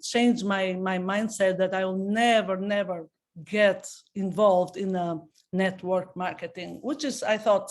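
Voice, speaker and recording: This is a middle-aged woman with an Albanian accent, speaking English as a second language. She presents her own story to an audience in an earnest, heartfelt way, with occasional non-native phrasing. Her pitch sits at a typical level for a woman. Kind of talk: personal testimonial presentation.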